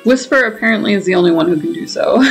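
A woman's voice: mid-range voice, animated, fast. A woman hosting a gaming video with casual commentary as she plays. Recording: faint game music behind. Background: game music and dialogue.

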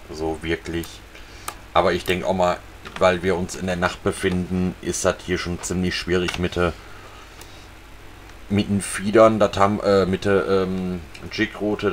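A man casually talking viewers through a fishing video game, relaxed and chatty. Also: sits low, slight background noise. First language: German. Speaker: gaming channel host. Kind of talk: casual let's-play commentary.

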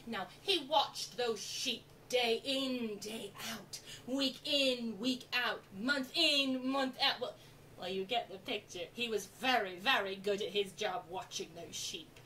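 Sentimental female children's theatre storyteller, acted by a woman, slow dramatic phrasing, highish voice, recorded from a distance. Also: pushed and bright. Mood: storytelling. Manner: dramatic, drawn-out, deliberate phrasing. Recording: distant mic, faint background noise.

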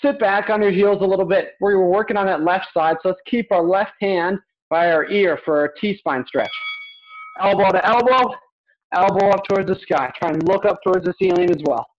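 A young man with a notably high voice coaches exercise while doing it himself. He gives short, repeated cues with steady encouragement. The sound is slightly dull and lo-fi, like a webcam in a small home gym. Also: moderate pace. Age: young adult. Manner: physically active while talking. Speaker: fitness coach. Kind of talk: instructional workout coaching with counted cues.

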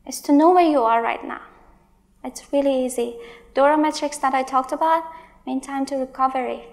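Conference speaker, woman in her thirties, high voice with gravelly fry, emphatic and exasperated. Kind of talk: tech conference talk with Q&A. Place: large stage in a hall.

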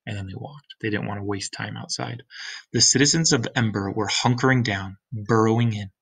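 A man's voice: fairly quick, gravelly, slightly rough. A middle-aged man, a teacher, reading a graphic novel aloud to his students, doing the characters' voices and stopping to ask them questions. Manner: animated, shifts pitch for the characters' lines. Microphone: webcam on a computer screen recording.

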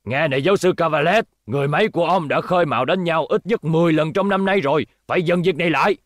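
A man's voice: fairly high pitch, quick pace, no echo, clean studio sound, natural voice. A man dubbing an elderly, authoritative and exasperated professor in a cartoon, speaking emphatically. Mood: stern, scolding. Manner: stern, emphatic, explanatory.

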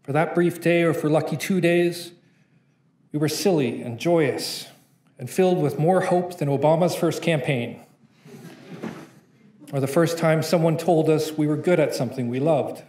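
A young man reads his spoken-word poem aloud from notes at a podium mic. His voice sits slightly high, and his delivery is rhythmic and playful. The conference room around him is echoey. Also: normal speed, darkish tone, slight background noise. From Canada. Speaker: poet.

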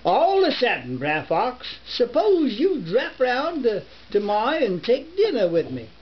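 A man's voice: very high voice, wildly swooping pitch, a little slow, pitched above the performer's own voice, full character voice. An older man voicing a sassy, wily rabbit from a storybook folk tale in a put-on American Southern dialect. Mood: slyly hospitable. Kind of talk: storybook reading. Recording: muffled home camera recording with hiss.

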